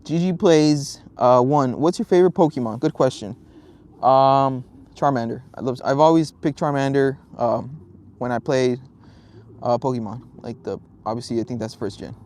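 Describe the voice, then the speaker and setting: A young man from Southern California talks easily outdoors by a lake, reading out comments as they come in. His voice sits at an ordinary middle pitch. He rambles in a relaxed way, full of ums and restarts. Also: normal speed. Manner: laid-back, rambling, many ums and restarts. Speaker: fishing livestreamer. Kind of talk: casual live-stream chat, reading viewer comments.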